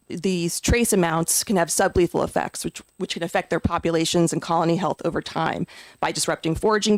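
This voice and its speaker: Hearing witness, gravelly voice, earnest and emphatic, lots of ums and restarts, dry sound. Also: medium pitch, dry recording. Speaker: witness testifying at a committee hearing. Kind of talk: public testimony. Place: legislative committee hearing room.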